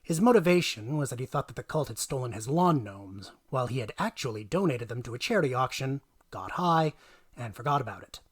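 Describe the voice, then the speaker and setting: Male narrator for a dramatic story reading, mid-pitched voice, theatrical, hushed asides into punched lines. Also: animated, fairly quick, breathy edge. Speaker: reader of a tabletop gaming tale.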